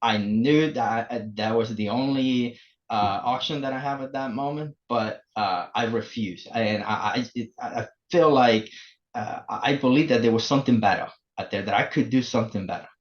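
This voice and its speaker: A man in his forties from El Salvador, speaking English, telling his story as a podcast guest with many pauses and fillers. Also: medium pitch. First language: Spanish. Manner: halting, many fillers and restarts. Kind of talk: casual interview. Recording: muffled lo-fi remote audio.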